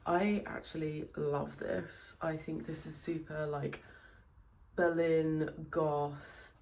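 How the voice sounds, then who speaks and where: Low-voiced chatty woman vlogging. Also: level tone, slow pace, darkish tone, slight background noise. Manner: chatty and upbeat, fillers like "like" and "literally". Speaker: vlogger and public speaker. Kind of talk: casual to-camera vlog chat.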